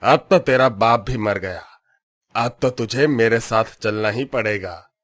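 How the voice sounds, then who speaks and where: A man voicing a greedy, bullying village chief's son in a cartoon, speaking in a gruff, threatening way. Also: medium pitch, a little slow, fairly bright tone, close to an everyday voice. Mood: scheming. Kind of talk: cartoon dubbing. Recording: faint background music.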